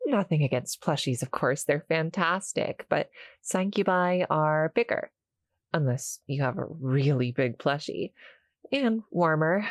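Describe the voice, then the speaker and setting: A woman voicing a small, shy, friendly cuddle-monster girl in an audio roleplay, halting and apologetic as she speaks to the listener. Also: sits low, animated, dry recording, studio quality. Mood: friendly, reassuring. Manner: nervous, halting, stammering, soft-spoken explaining.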